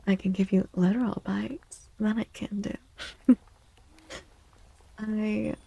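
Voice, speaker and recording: A woman speaks softly and slowly in a mellow, husky voice with plenty of fry. She drifts in and out of whispers as she chats gently in an ASMR livestream style. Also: mid-range voice, no room sound, poor audio. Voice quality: soft, husky, breathy. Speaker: live streamer and tarot reader. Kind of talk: soft-spoken ASMR livestream chat.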